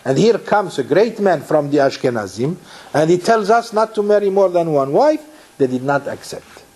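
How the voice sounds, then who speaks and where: Middle-aged rabbi from Morocco, warm and fairly high voice, lecturing with restarts and rhetorical questions. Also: male voice, animated, normal speed. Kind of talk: torah lecture.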